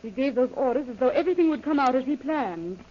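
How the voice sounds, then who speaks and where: High female voice, fretful young heroine, pleading, impatient, vintage radio serial, muffled lo-fi recording. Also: expressive, fast, slight background noise. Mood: anxious, impatient. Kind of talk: 1930s radio acting. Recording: dull lo-fi old radio recording.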